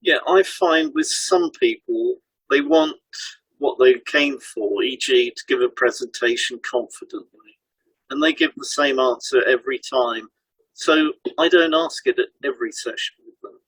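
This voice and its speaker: A man's voice: mid-range voice, normal speed, fairly bright tone, husky, dry recording, low-quality recording. A man co-hosting an educational podcast, reading scripted explanations in an instructive way. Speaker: podcast co-host and hypnotherapy trainer. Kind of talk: scripted educational podcast dialogue, read aloud.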